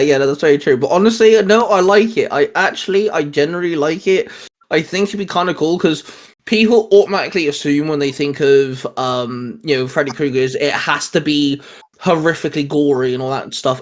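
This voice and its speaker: A man's voice: on the high side, moderate pace. A man hosting a casual geek discussion, speaking informally and emphatically and repeating himself to stress a point. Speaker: host of a hobby video channel.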